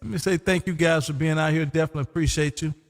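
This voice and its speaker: Laid-back ham radio host. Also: male voice, a little high, steady tone, very fast, big-room sound. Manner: laid-back, folksy, says "man" often. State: losing his voice. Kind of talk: casual on-air radio chat.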